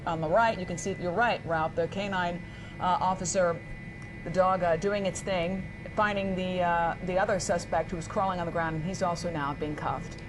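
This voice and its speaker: A woman anchoring live breaking news, explaining and interjecting as she goes without a script. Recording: live broadcast with noisy background rumble.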